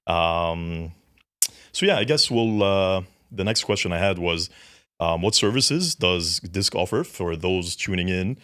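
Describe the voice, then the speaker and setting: Deep-voiced affirming male podcast host. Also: animated, a little slow, fairly bright tone. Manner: affirming, constant brief agreement. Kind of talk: casual interview chat.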